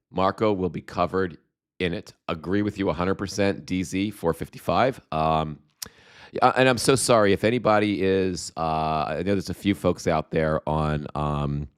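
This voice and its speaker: A very low-pitched man hosting a casual live stream, his voice smooth and resonant though a slight cold is wearing it down. He rambles and restarts as he reads out viewer comments, and his talk is thick with fillers. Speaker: livestream host.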